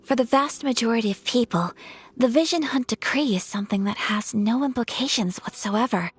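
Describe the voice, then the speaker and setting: A woman voicing a composed young noblewoman in a video game, speaking in a formal, measured and polite manner. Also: fairly high pitch, breathy edge. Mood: calm formal. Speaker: noble of a ceremonial commission.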